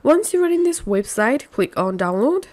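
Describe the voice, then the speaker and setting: A woman presenting a scripted step-by-step software tutorial in an instructional manner with simple phrasing. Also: fairly high pitch, sing-song, normal speed.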